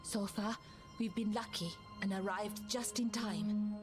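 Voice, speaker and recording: An actress playing a grave, dutiful female leader of a fantasy scout camp in a video game, serious and measured. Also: mid-range voice, steady tone, natural voice. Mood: somber. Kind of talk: video game cutscene acting. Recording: game music behind.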